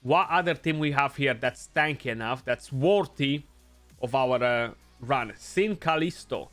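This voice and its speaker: Bright-voiced Romanian-accented male gamer. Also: mid-range voice, measured pace, faint music behind. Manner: casual, thinking aloud, frequent "you know". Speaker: gaming YouTuber. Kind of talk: gameplay commentary.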